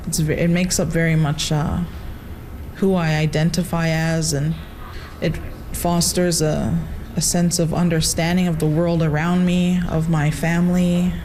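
A young woman teacher who speaks slowly and earnestly. Her voice sits a little low. Clear background noise runs under the recording. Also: level tone, darkish tone. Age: young adult. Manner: reflective, earnest. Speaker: language teacher.

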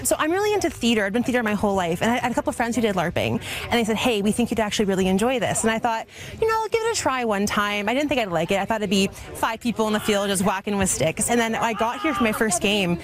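A woman in an interview, talking in a bubbly, eager, fast-flowing way. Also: mid-range voice, expressive. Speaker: interviewee, role-player. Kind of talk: enthusiastic interview talk. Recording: interview with music underneath.

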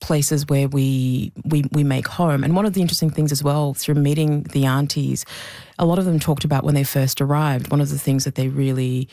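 A woman from Zambia talks about her documentary in a gravelly voice, thinking aloud and circling back over her words. The sound is dry and close. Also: low voice, steady tone, fairly quick, mellow, no echo. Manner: thoughtful, with restarts and repeated words. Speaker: documentary maker, guest. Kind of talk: conversational interview.

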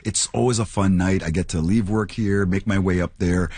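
A man with a very deep, husky voice talks on a radio show. His chat is relaxed and rambling, and the studio sound is dry. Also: moderate pace, no echo. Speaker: radio co-host. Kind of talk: casual on-air chat. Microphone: studio microphone.

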